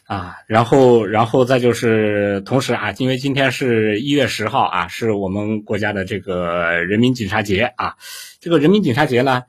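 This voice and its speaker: A man's voice: on the low side, moderate pace, husky. A man hosting a casual livestream interview, speaking in a hesitant, repetitive way. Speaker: livestream host.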